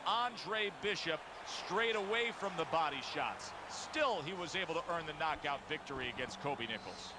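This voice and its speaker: High-voiced excitable boxing announcer, played by a man. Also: moderate pace, hardly any pauses, background noise, natural voice. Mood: excited, emphatic. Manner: punchy, emphatic calls. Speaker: sports commentator. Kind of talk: live boxing commentary.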